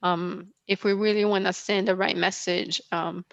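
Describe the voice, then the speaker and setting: A woman's voice: mid-range voice, level tone, moderate pace, a bit bright, no room sound, muffled recording. A woman from Brazil, not a native English speaker, answering questions after her talk in a casual, hesitant way with many fillers. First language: Portuguese. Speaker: guest speaker.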